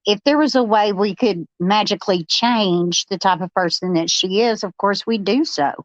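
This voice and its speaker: A woman's voice: medium pitch, moderate pace, dry recording, lo-fi sound. A woman panelist on a live discussion, talking casually and reading out viewer comments.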